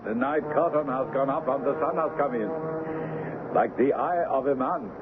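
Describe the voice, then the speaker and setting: An actor in a radio drama playing a cryptic, poetic shipmate with a lyrical delivery. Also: male voice, mid-range voice, moderate pace, close to an everyday voice. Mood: sly, lyrical. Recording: noisy, phone-like old radio broadcast.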